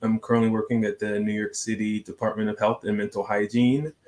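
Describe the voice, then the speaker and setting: A man with a somewhat low voice speaks as a physician on a panel. His delivery is conversational and professional. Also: little pitch movement, a little fast, a little mellow. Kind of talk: casual professional self-introduction.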